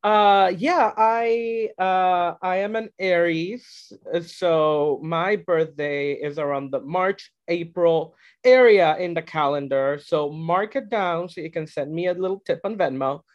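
Witty guest from El Salvador, lo-fi audio. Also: male voice, high voice, measured pace, few pauses. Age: 30s. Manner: chatty, self-mocking, witty. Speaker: podcast guest. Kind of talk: casual interview chat. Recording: slightly dull, lo-fi remote audio.

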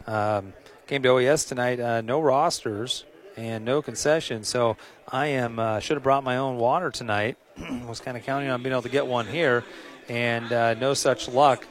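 A middle-aged American man, slightly hoarse, calling a pregame basketball broadcast in a rambling way, full of "uh" fillers and restarts. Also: medium pitch, steady tone, moderate pace. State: a little hoarse. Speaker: play-by-play announcer. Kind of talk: pregame sports broadcast commentary. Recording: music in the background.

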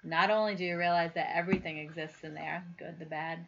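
A woman's voice: fairly low pitch, steady tone, fast, background noise. A woman in her forties co-hosting a casual, heartfelt talk, earnest and often searching for words. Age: 40s. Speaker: podcast co-host. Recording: dull lo-fi recording.

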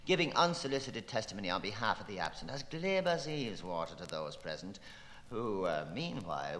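Male literary reader, declamatory and playful, highly animated pitch, long echoing reverb. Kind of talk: theatrical literary reading of an experimental novel.

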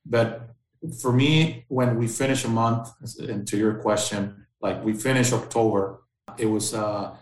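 A man's voice: on the low side, steady tone, measured pace. Man, roomy sound. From Mexico. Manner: earnest, explanatory, often repeats words while restarting. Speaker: guest, factory site manager. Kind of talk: casual interview conversation.

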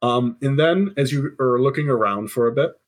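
A man's voice: medium pitch, normal speed. A man running a tabletop game, narrating casually and informally. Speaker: game master.

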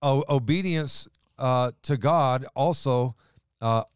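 Smooth-voiced man discussing scripture. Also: medium pitch, slow pace, no echo, studio quality. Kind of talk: casual religious discussion, citing scripture.

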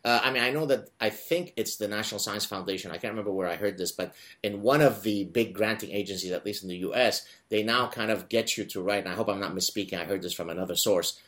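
A man's voice: fairly low pitch, quick pace, few pauses, a bit bright. A man hosting a podcast interview, chatting casually with hedging asides. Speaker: podcast host.

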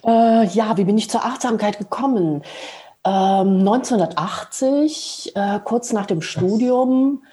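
A woman's voice: mid-range voice, measured pace, dark tone. A woman in her sixties with a Rhineland German accent, a podcast guest recounting her path to meditation thoughtfully, with frequent hesitations. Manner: hesitant, many fillers, thoughtful. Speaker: guest, meditation teacher and interpreter. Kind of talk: reflective personal account in a podcast.

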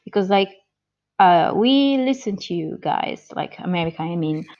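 A woman in her thirties with an Italian accent, a guest on an interview podcast who answers slowly and hesitantly, with many pauses and restarts. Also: lowish voice, animated, a little mellow, lo-fi sound. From born in the US, grew up in Italy.

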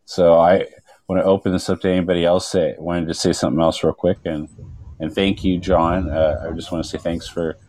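A man's voice: low-pitched, little pitch movement, fairly quick, darkish tone, no room sound, low-quality recording. A man speaking as a guest on an online panel, talking earnestly and rambling with many fillers. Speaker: activist guest. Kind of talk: casual chat.

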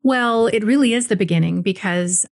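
A woman from Hawaii, a spiritual teacher guesting on a podcast, telling her life story in a calm, reflective, earnest way. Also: mid-range voice, moderate pace, gravelly. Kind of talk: interview storytelling.

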